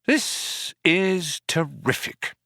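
A man's voice: high voice, huge pitch swings, measured pace, a bit bright, no room sound, clean studio sound, voice pushed up, full character voice. A man voicing an exasperated, bewildered human man in an audiobook story, plaintive, indignant and sarcastic. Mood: glum, indignant.

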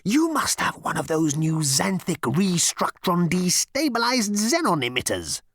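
A man's voice: high voice, wildly swooping pitch, a bit bright, no room sound, pitched above the performer's own voice, exaggerated voice. A man voicing a huge, dim, blustering battle machine that bellows and is easily enraged, in an audiobook. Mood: puzzled, awed. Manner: bellowing, slow on the uptake. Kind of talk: audiobook character voice.

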